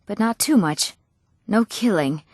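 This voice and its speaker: An actress playing an eager, earnest young townswoman in a fantasy video game, with clear, expressive scripted delivery. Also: medium pitch, measured pace, no room sound, studio quality. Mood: hushed, eager. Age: young adult.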